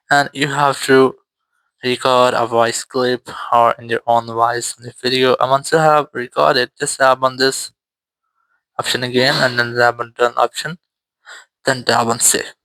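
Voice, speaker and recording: Male tutorial host: crisp, even delivery, instructional step-by-step narration, close and dry. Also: mid-range voice, no room sound, rough recording. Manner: instructional, repetitive step-by-step phrasing.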